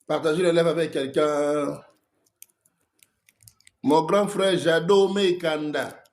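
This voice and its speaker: Man, pastor, Congolese French accent, stuffy head cold, very slow delivery, livestream preaching. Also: a little high, steady tone. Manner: slow, greets viewers by name. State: has a cold, coughing. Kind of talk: livestream sermon and greetings.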